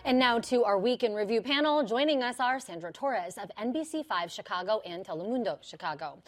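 A woman's voice: fairly high pitch, lively intonation, faint music behind. Woman moderating a news panel. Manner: poised, measured questioning.

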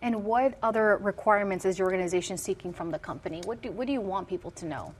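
A woman hosting a TV news interview, briskly introducing guests and asking questions. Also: mid-range voice, slight background noise. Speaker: TV news host.

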